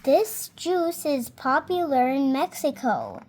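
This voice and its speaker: A young reader slowly and carefully reading a picture book aloud, now and then stumbling on a word and fixing it. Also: female voice, sits high, big pitch swings, darkish tone, poor audio. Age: child. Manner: slow careful reading with small slips and self-corrections.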